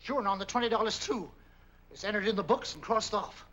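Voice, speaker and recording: High-pitched, cranky American night clerk. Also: male voice, animated, a little fast, bright, forceful tone, heavily acted. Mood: defensive, whining. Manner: grumbling, folksy. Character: cranky, evasive male night clerk, folksy and defensive. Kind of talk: 1940s film noir acting. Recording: old film soundtrack with faint music.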